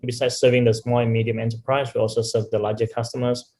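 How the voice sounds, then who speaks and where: A man's voice: medium pitch, level tone, quick pace, darkish tone. A company executive explaining his business in an interview, often checking in with "right?". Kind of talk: interview answers.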